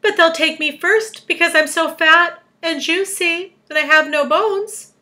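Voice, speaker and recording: A plump storybook earthworm played by a woman, high and piercing, forever fretting. It wails and pleads in a bright, loud whine. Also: unhurried, exaggerated voice. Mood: panicked wailing. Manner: wailing, pleading. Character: frantic, whining fat earthworm, male.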